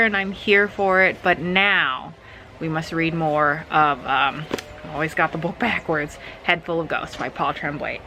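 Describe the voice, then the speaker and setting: A woman's voice: fairly low pitch, sharp tone, a little hiss or hum. A woman chatting casually to viewers as a book vlogger, in an informal, effusive, slangy way.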